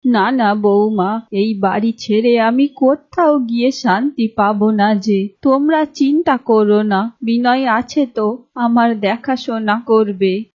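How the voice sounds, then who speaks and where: A woman voicing a gentle, caring elderly widowed mother in a folk tale, soothing and full of advice. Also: on the high side, slow pace, lo-fi sound. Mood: reassuring. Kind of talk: acted dialogue for a story video.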